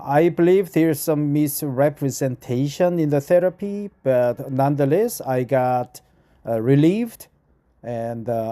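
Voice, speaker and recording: Korean-accented man, english learner, very slow and halting, dark mellow tone. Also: mid-range voice. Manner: halting, many "uh" fillers, repeats phrases to practice. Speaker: vlogger. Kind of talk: reading an article aloud to practice English.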